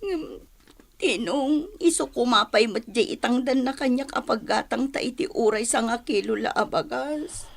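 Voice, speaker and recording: A woman's voice: a little high, lively intonation, moderate pace, creaky, a little hiss or hum. A woman with an Ilocano Filipino accent playing a meek, downtrodden wife, pleading plaintively in a radio drama. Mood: tearful complaint. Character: meek, downtrodden poor wife, timid and wounded.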